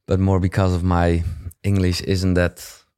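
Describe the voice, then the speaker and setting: A man with a Dutch accent hosts a casual interview in English, his second language. His voice sits a little low, and he searches for words, stumbling through fillers and restarts. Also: completely flat delivery, a little slow, mellow, smooth. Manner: hesitant, many fillers and restarts. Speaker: podcast host.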